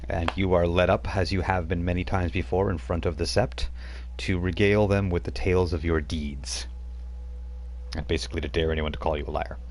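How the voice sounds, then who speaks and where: Deep-voiced game master explaining rules. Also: male voice, fairly even intonation, fairly quick, darkish tone, a little hiss or hum. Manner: explains rules patiently, stops to check notes. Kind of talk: running a tabletop game. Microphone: streaming mic.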